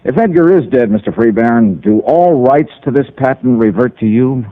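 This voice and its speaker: An actor playing a breezy, wisecracking insurance investigator who also narrates, in a 1950s radio drama. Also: male voice, medium pitch, animated, moderate pace, close to an everyday voice. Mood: quizzing. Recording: tinny old radio broadcast with hiss.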